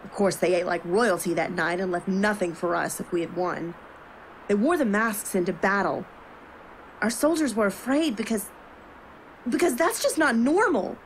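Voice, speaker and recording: A woman's voice: mid-range voice, lively intonation, moderate pace, slight background noise, close to an everyday voice, low-quality recording. A woman playing an earnest, burdened young royal in an audio drama. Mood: grave storytelling.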